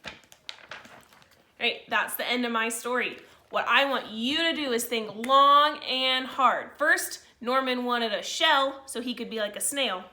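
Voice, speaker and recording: A woman teacher reading a picture book to children in a slow, expressive way, with informal asides to her pupils. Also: fairly high pitch. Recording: roomy, echoey sound.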